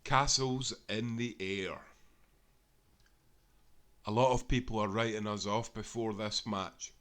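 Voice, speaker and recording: A man reading a football history book aloud in a steady, measured way, speaking as a proud supporter of his club. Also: mid-range voice. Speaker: audiobook reader.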